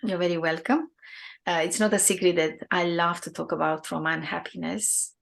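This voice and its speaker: A woman hosting an interview podcast, asking slow, deliberate questions with some fillers. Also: on the low side, fairly even intonation, gravelly, fairly bright tone. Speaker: podcast host.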